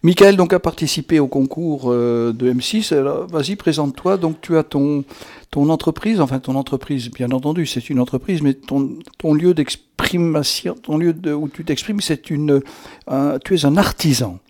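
A man's voice: on the high side, moderate pace, darkish tone. A man hosting a radio show in a chatty, improvised interview style, playful and teasing, with rambling, theatrical, flowery turns of speech. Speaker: radio host.

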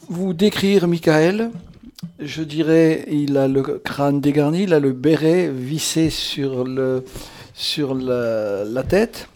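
A man's voice: a little high, a little mellow. Playful, theatrical male radio host. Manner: playful, teasing, flowery compliments. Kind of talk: chatty, improvised radio interview.

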